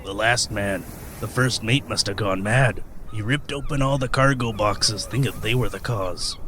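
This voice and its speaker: An actor playing a weathered old sailor in a radio play, telling grim tales in a folksy, rustic, hedging manner. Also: male voice, on the low side, normal speed, bright, forceful tone, slight background noise. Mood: grave, ominous.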